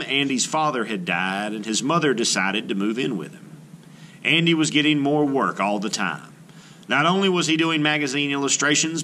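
Male art teacher for a kids' read-aloud: mid-range pitch, very animated and emphatic, some noise behind the voice. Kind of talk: children's book read-aloud.

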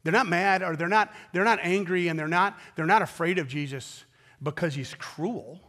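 An American man preaches from a church stage in a somewhat high-pitched voice. He is a pastor who speaks earnestly and with emphasis, repeating phrases and pausing deliberately between them. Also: fairly bright tone, long reverb tail. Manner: earnest, emphatic delivery with deliberate pauses and repetition. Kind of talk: sermon. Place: large indoor church auditorium stage at a wooden pulpit.

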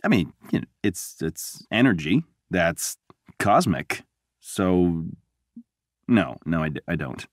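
Weary male game hero, laid-back and dry-witted, slow-talking, with a rough husky tone. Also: low voice, expressive, no room sound, exaggerated voice. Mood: sheepish, dry. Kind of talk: video game voice acting.

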